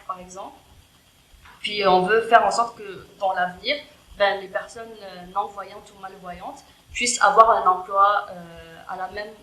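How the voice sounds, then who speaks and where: A woman's voice: mid-range voice, fairly bright tone. A woman who is not a native French speaker, talking casually with many fillers and restarts in an interview. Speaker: guest, EDI worker in tech. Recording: roomy with faint background noise.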